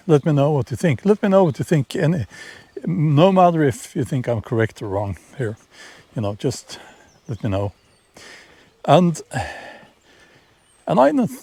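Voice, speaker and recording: A middle-aged man with a Norwegian accent talks to camera outdoors, slow and deliberate, often repeating words and restarting. His voice is mellow and relaxed, recorded close and dry. The tone is casual and opinionated, like a personal vlog. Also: on the high side, vocal fry, slightly rough, no room sound. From Norway. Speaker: vlogger. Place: outdoors in nature, near horses.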